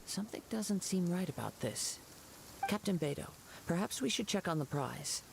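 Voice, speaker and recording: An actor voicing a calm, courteous young male samurai wanderer in a video game, speaking in a measured, formal way. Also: high voice, creaky, husky, heavily acted. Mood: wary.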